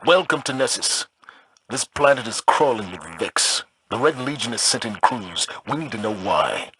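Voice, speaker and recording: A man's voice: fairly low pitch, very animated, normal speed, pushed and bright, dry recording, full character voice, poor audio. A man playing a stern, dependable military commander in a video game, giving clipped mission briefings. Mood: stern, resolute. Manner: authoritative, clipped. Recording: game audio with clear background noise.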